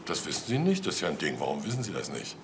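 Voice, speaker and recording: A man's voice: extremely low pitch, huge pitch swings, rapid-fire, slight background noise, heavily acted. A man playing a wry police detective in a crime drama, ironic and mock-polite in manner. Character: wry, sardonic male police detective questioning people. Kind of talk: German TV crime drama acting.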